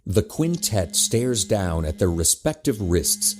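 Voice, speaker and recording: A man's voice: lowish voice, sing-song, takes it slowly, darkish tone, slight background noise. A man reading scripted narration for an audio drama as its narrator. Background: music.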